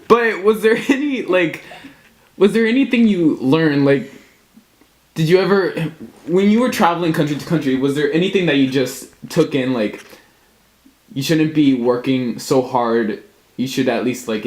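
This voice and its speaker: A man's voice: fairly high pitch, measured pace. A young man interviewing a relative, hesitant, stumbling through his questions. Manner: hesitant, many fillers and restarts. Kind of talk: casual family interview.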